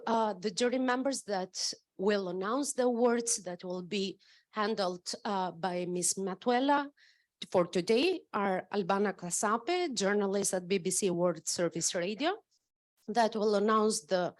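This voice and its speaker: A woman moderating an awards ceremony, announcing formally with many pauses and fillers. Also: medium pitch. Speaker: event moderator.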